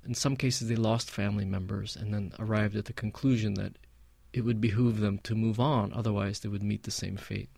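A man of Palestinian background, a guest in an interview, telling stories in a measured, reflective way with frequent fillers. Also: on the low side, steady tone, gravelly, darkish tone, slightly rough, no echo. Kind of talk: conversational interview.

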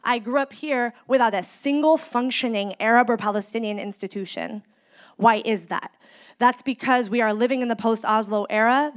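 Emphatic woman organizer giving a speech. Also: on the high side. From San Francisco Bay Area, United States. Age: 30s. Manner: measured, emphatic delivery with deliberate pauses. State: in mourning. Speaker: community organizer. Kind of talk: impassioned speech.

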